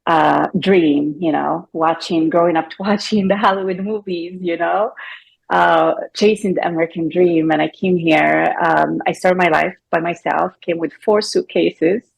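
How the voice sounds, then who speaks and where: A woman's voice: fairly low pitch, fairly even intonation, unhurried, vocal fry, husky. A middle-aged woman from Morocco with a Moroccan accent, a guest coach chatting loosely with filler words and restarts. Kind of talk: casual interview talk.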